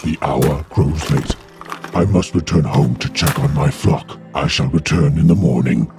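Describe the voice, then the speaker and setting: Very deep, gentle shepherd. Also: male voice, music in the background. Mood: formal. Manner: formal, unhurried. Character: gentle, soft-hearted, deep-voiced male shepherd. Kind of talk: fantasy audio drama acting.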